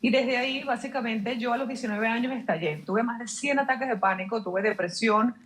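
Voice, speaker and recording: A woman's voice: a little high, fairly quick. A woman guest explaining her ideas fluently in an interview, emphatic and full of rhetorical questions. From Venezuela. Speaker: guest, mindset mentor.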